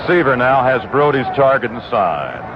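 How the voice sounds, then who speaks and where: A man's voice: medium pitch, moderate pace. Man calling baseball on old radio. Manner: steady, rising to excited calls on big plays. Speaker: radio play-by-play announcer. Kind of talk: baseball play-by-play commentary. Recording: muffled old AM radio broadcast with loud hiss.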